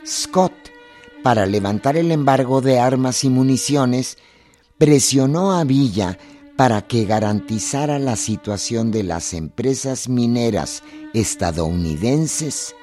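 A man's voice: mid-range voice, expressive, slow pace, dark tone, soft background music. A man giving scripted dramatic narration for a historical radio drama.

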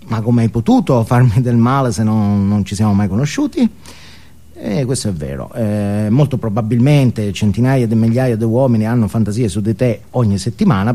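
A man's voice: lowish voice, warm tone, close to an everyday voice. An actor playing a neurotic, ashamed, self-absorbed man who stammers and repeats words as he justifies himself in a comic stage monologue. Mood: hesitant, apologetic.

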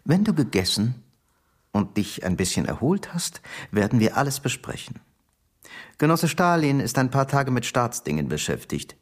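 An actor playing a middle-aged male Soviet secret police chief in a radio drama, genial and persuasive, confiding with quiet menace. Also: medium pitch, big pitch swings, normal speed. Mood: genial, assured.

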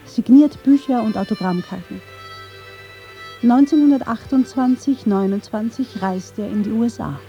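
Female feature narrator, very mellow and soft-edged, scripted delivery, slightly dull sound. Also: on the high side.

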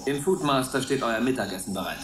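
An actor playing a pompous, strict warden of a space oasis in a radio play, talking in an officious, patronizing manner. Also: male voice, mid-range voice, expressive, fast, roomy sound, background noise, close to an everyday voice. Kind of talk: German radio play acting.

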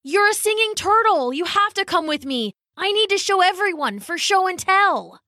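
A woman's voice: very high voice, lively intonation, normal speed, sharp tone, no echo, studio quality, pitched above the performer's own voice, exaggerated voice. A woman voicing an eager, insistent young schoolboy in a children's story, emphatic and pleading. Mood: excited.

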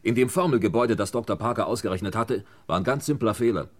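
An actor playing a calm, methodical police inspector in a 1960s German radio crime drama, speaking deliberately and with authority. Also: male voice, on the low side. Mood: explaining.

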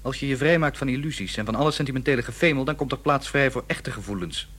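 A young student son, played by a male radio actor, proud and idealistic. He declares his principles earnestly in a mid-pitched voice. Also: fast, fairly bright tone, lightly acted. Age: young adult. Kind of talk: radio play acting.

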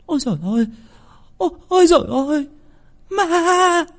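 A man voicing a terrified servant woman who stammers and shrieks in a high voice. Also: expressive, normal speed. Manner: shrill stammering. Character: hysterical peasant maid woman, stammering.

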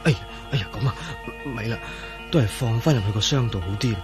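An actor in a radio drama playing a troubled, excitable man who tells of his ordeal in Hong Kong Cantonese, with sighs and hesitation. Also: mid-range voice, quick pace, music in the background, close to an everyday voice. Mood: amazed, wary. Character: troubled man named Ah-Yin, turned invisible, recounting his ordeal.

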